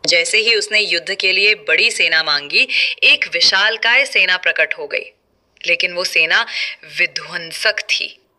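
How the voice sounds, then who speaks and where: A woman's voice: fairly low pitch, measured pace, bright, forceful tone. A woman telling a moral folk tale as a scripted narration. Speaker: storyteller.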